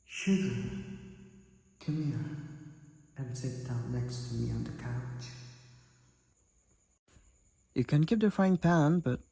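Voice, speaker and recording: Raspy, creaky teasing demoness played by a woman. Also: a bass voice, lively intonation, takes it slowly, long pauses, dark tone, soft background music, heavily acted, poor audio. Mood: wry, coaxing. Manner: teasing, wry, theatrical, addresses the listener as Sugar. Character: cocky teasing demoness college roommate, competitive gamer. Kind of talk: roleplay audio drama.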